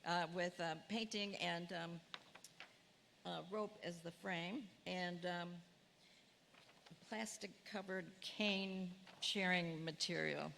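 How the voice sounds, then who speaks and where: A woman's voice: fairly low pitch, fairly even intonation, slow pace, vocal fry, a bit bright. A woman instructor previewing her course to an audience, chatty and self-deprecating with many fillers. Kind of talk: class preview talk. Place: lecture hall, in front of audience. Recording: long hall reverb, faint room noise.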